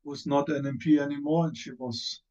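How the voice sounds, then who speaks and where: Man, German accent, hesitant with many fillers, dark mellow voice, dull video call sound. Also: a little high, fairly even intonation, normal speed. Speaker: panelist, former member of parliament. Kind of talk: online panel discussion.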